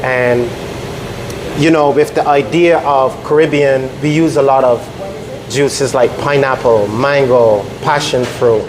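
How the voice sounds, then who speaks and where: A middle-aged man from Barbados, a restaurant chef explaining things step by step in an interview, with measured, pausing delivery. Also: medium pitch, fairly even intonation, background noise.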